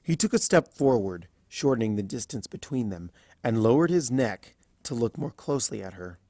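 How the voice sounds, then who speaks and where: A man's voice: medium pitch, lively intonation, moderate pace, dark tone, dry recording. Man reading a story in a dry room. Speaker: fan fiction reader. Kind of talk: scripted story narration.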